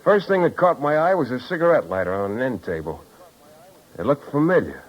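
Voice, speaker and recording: An actor playing a wry, tough, world-weary private detective in a 1950s radio drama, with slangy, wisecracking delivery and narration. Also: male voice, mid-range voice, very animated, brisk. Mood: brooding, wry. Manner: hard-boiled, wisecracking, slangy. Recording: dull vintage 1950s radio recording.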